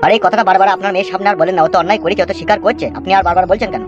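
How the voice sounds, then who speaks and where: A man voicing a calm village doctor in a cartoon, placating and lecturing. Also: high-pitched, very fast, lo-fi sound, close to an everyday voice. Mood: admonishing. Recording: faint music behind the voice.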